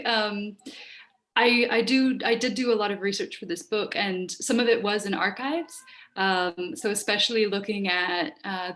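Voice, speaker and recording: A woman's voice: medium pitch, fairly bright tone. Thoughtful woman thinking aloud. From United States, Hungarian-speaking home. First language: Hungarian. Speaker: writer giving an online workshop. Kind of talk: informal talk answering questions. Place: book-lined room at home. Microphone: video call, wearing headphones.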